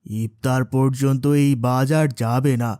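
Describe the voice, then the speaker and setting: A poor, devout working father in a moral cartoon, acted by a man. He is humble and honest, with a mid-pitched voice recorded dry and close. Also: steady tone, measured pace, mellow, clean tone, no echo, close to an everyday voice. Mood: earnest, humble. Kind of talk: cartoon moral-story voice acting.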